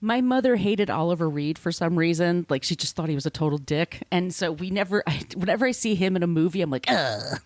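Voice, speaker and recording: A woman's voice: fairly low pitch, expressive, fairly quick, hardly any pauses, a little mellow, dry recording, studio quality. A woman hosting a podcast, reading scripted narration with casual, irreverent asides. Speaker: podcast host.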